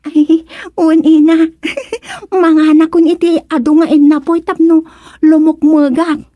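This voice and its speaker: A coy, eager young Ilocano daughter in a radio comedy-drama. Her voice is extremely high and unhurried, recorded very dry. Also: steady tone, dark tone, dry recording. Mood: giggly, coy.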